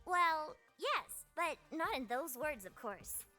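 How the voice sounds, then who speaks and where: A woman's voice: high voice, sing-song, takes it slowly, sharp tone, soft background music, heavily acted. A woman voicing indignant, argumentative magical girl characters in a theatrical visual novel style. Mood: bickering. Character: feisty magical girl bickering with a prim, preachy pixie. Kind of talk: animated visual novel voice acting.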